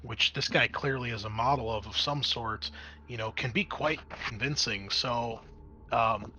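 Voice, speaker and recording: A man's voice: medium pitch, measured pace, sharp tone, a little hiss or hum, poor audio. A man narrating a tabletop horror game as game master, improvising in a conversational, explanatory way.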